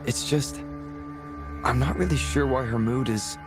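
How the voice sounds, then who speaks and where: Guy as calm analytical game companion, medium pitch, measured, music clearly behind him. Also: natural voice. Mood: puzzled, explaining. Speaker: game character. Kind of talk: video game voice acting.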